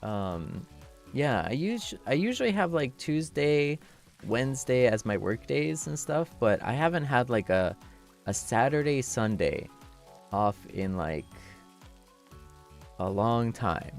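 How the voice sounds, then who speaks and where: A young man streamer chatting casually with viewers, laughing often and thanking them warmly, moving between a low relaxed voice and a higher one. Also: slow, gravelly, soft background music. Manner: shifts between low chill voice and high voice.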